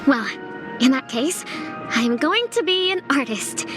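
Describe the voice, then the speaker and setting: A woman's voice: high-pitched, expressive, measured pace, music in the background. A woman voicing a playful, teasing schoolgirl in a video game. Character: bubbly teasing schoolgirl, playful junior student.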